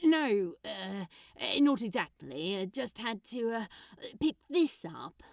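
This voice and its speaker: A woman's voice: medium pitch, big pitch swings, slow, vocal fry, dry recording, clean studio sound, heavily acted. A woman voicing an awkward, smitten man who speaks hesitantly, stammering with ums and uhs. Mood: nervous, awkward. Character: awkward, smitten adult man, bashful and flustered.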